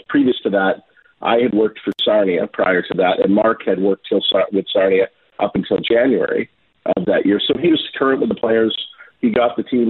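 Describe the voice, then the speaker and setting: Over a narrow, phone-like line, a Canadian man tells stories informally as an interview guest. His voice is husky and on the low side. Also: no echo. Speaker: podcast guest, hockey scout. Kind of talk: informal anecdotal storytelling in an interview.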